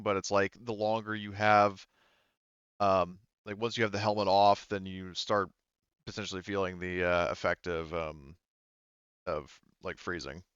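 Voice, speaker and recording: A man running an online tabletop game, casually explaining rules in a halting, rambling, informal way. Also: on the low side, a bit bright, clean tone, no room sound, dull audio.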